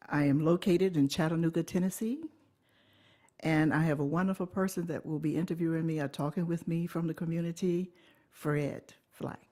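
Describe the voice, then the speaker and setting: A woman, telling her life story in an oral history interview, unhurried and pausing often to correct herself. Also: sits low, steady tone, warm tone. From Alabama, USA. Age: 80s. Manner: unhurried recollection with pauses and self-corrections.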